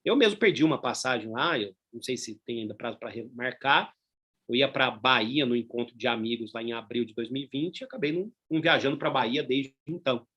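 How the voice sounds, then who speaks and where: Husky-voiced Brazilian man lecturing law. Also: mid-range voice, fairly quick, sharp tone, lo-fi sound. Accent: Brazilian Portuguese. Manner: conversational, frequent tag questions, asides. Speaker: law professor.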